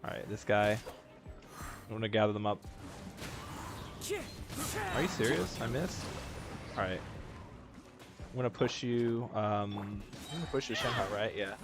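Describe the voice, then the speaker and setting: A man's voice: fairly low pitch, measured pace, pauses often, music in the background. Laid-back young man streaming a game. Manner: laid-back, self-mocking, swears casually. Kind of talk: casual gameplay commentary.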